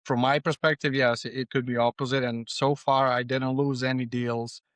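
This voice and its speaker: Man, Serbian accent, non-native speaker, clean resonant voice, flat intonation, podcast guest, conversational. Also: mid-range voice, moderate pace, no room sound. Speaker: podcast guest, freight agent. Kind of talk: conversational interview answers.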